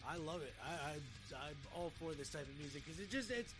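High-pitched, bright-voiced man chatting casually. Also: animated, brisk, faint music behind. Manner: jokey, informal, slangy. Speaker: podcast host. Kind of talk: casual chat, swearing.

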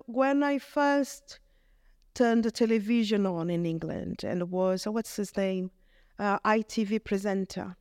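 A middle-aged woman with a Brazilian Portuguese accent, speaking in English as a vicar. She gives an earnest, halting testimony and often restarts her phrases. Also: a little high, darkish tone, clean tone, no echo, clean studio sound. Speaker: vicar, guest. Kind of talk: reflective testimony in conversation.

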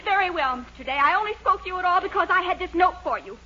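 Shrill, scornful sitcom girl. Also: expressive, fast talker, bright, forceful tone, exaggerated voice. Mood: indignant. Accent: American. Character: indignant, scornful, sharp-tongued young woman. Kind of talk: 1940s radio sitcom acting. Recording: muffled 1940s radio recording.

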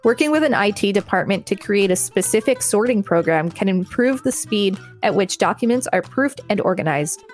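A woman's voice: medium pitch, animated, moderate pace, hardly any pauses, soft background music. A woman co-hosting a podcast, reading an encyclopedia article aloud with some casual banter. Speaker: podcast co-host.

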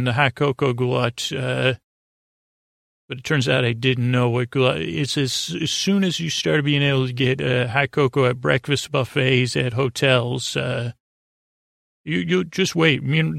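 A man hosting a whimsical bedtime podcast in a meandering, halting monologue, digressive and self-deprecating. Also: medium pitch, vocal fry, fairly bright tone, no echo, studio quality. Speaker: podcast host.

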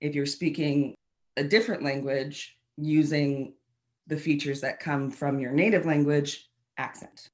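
Animated Southern woman dialect coach. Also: low-pitched, measured pace. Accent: Southern American. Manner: animated, laughs at herself. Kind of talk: explaining in casual interview.

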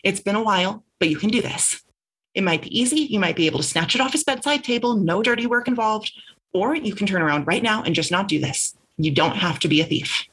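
A woman voicing a talkative young woman who rambles nervously, her voice lifted and husky. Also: fairly quick, fairly bright tone, low-quality recording. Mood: anxious self-talk. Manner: rambling, high and breathless.

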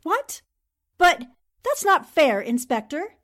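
High-pitched, spirited woman acting a newspaperwoman. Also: very animated, takes it slowly, fairly bright tone, full character voice. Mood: indignant, insistent. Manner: insistent, pleading. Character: pushy, spirited young newspaperwoman. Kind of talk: 1940s radio drama acting.

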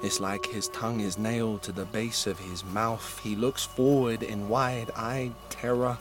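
Slow, intense male horror narrator. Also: fairly even intonation, over music. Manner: intense, rising to urgent peaks then sinking low and hushed. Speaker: audiobook reader. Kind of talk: scripted dramatic horror narration.

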